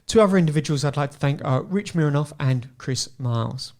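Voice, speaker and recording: A man's voice: on the high side, expressive, brisk, a little mellow. A man hosting a podcast, running a playful quiz game in a casual, upbeat way. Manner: upbeat, laughing, repeats words. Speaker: podcast host.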